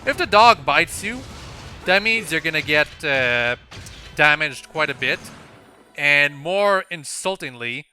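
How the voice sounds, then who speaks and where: A man's voice: on the high side, lively intonation, slow, sharp tone, slight background noise. A man giving casual, explanatory commentary over a video game walkthrough in an informal way. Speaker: gameplay commentator. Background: faint game music.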